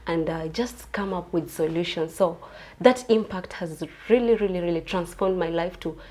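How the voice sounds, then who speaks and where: Soft-spoken young woman from Kenya. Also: lowish voice, moderate pace. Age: 20s. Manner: earnest, soft-spoken, with frequent uh and um. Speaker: pageant contestant. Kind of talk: interview answers.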